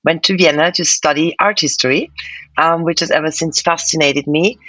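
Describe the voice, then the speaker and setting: A woman's voice: sits low, normal speed, a bit bright, no echo. Austrian woman curator, dry dull audio. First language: German. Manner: thoughtful, many fillers and restarts. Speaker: museum curator, guest. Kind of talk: interview answers.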